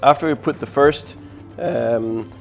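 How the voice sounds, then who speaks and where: A man in his forties from Denmark, a florist hosting a workshop, explaining steps in a friendly, halting way with many ums. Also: medium pitch, creaky, faint music behind. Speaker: florist host. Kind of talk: casual workshop chat. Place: bright indoor studio with flowers.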